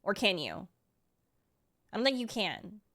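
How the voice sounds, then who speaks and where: A woman game streamer giving casual, expressive live reaction commentary. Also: mid-range voice, a little slow.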